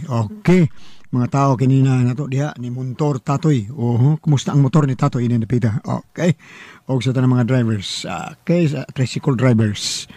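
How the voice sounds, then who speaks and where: Man, Cebuano accent, radio host, mellow dark tone, close dry sound, informal announcing. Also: medium pitch, no room sound. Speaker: radio drama host and letter reader. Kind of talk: radio greetings and programme introduction.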